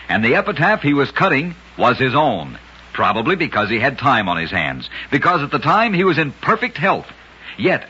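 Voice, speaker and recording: A man narrating strange true facts in a scripted old-time radio style, with a brisk, dramatic storytelling cadence. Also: on the high side, pushed and bright, slightly rough, lo-fi sound.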